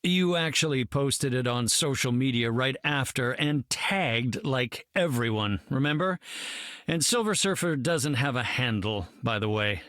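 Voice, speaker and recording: An actor playing an earnest, self-assured scientist hero in a video game, articulate and formal. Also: male voice, medium pitch, expressive, measured pace, fairly bright tone, no room sound, clean studio sound. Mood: pedantic. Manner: articulate, formal, matter-of-fact.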